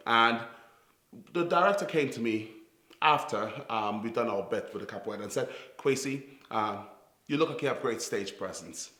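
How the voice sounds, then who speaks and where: A man in his forties from Trinidad, with a Trinidadian accent softened by years in New York, earnestly telling his life story and often restarting his phrases. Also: mid-range voice. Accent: Trinidadian with New York influence. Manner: earnest, halting, with repeated restarts. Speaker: youth theatre founder and director. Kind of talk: reflective personal storytelling to camera. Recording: echoey room.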